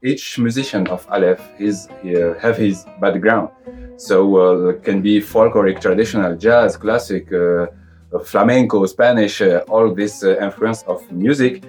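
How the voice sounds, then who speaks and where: Tunisian guy, deep voice, musician chatting in an interview, halting second-language english, faint music. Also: darkish tone. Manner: halting, simple non-native English. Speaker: musician interviewee, oud player and violinist. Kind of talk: casual interview talk.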